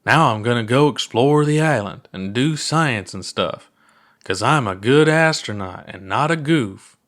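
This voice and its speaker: A man's voice: mid-range voice, animated, a little slow, fairly bright tone. A man voicing a goofy, laid-back alien astronaut with a playful, theatrical drawl in a storybook reading. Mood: playfully boastful.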